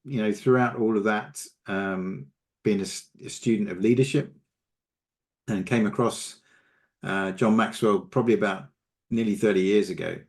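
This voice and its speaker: A middle-aged or older man, answering casually as an interview guest, pausing and correcting himself. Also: lowish voice, steady tone. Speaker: leadership coach, podcast guest.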